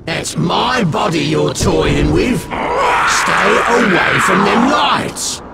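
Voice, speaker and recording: A man with a British accent voicing a cheeky, foul-mouthed little demon imp in a video game, gravelly, slangy and urgent. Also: high-pitched, animated, extremely slow, over loud music, exaggerated voice. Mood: hurried. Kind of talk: video game character acting.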